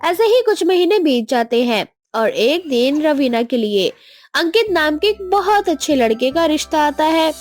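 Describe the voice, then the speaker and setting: High-pitched woman narrating a story. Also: very animated, unhurried, faint music behind.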